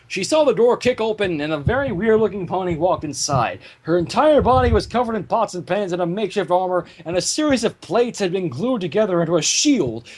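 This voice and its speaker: High-pitched, husky male story narrator. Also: big pitch swings, fairly quick, few pauses, rough recording. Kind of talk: animated narration of fan fiction.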